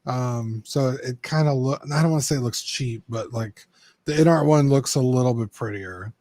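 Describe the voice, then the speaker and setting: A man's voice: mid-range voice, moderate pace, a little mellow, dry recording. A young man co-hosting a casual collectibles podcast, rambling with lots of ums and the odd swear. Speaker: co-host.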